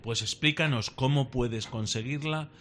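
A man playing a calm, practical leader of a cautious search party in a radio drama. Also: mid-range voice, unhurried, slight background noise, natural voice. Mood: calm, firm.

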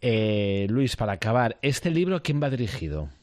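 A man's voice: medium pitch, sing-song, normal speed, a little mellow, dry recording, studio quality. A man interviewing a guest on radio, asking short questions and giving frequent brief acknowledgements. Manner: brief prompts, frequent backchannel.

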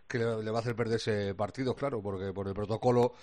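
A man with an accent from Spain discussing basketball casually as a commentator, colloquial and halting as he thinks aloud. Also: lowish voice, steady tone, darkish tone. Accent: Spanish from Spain.

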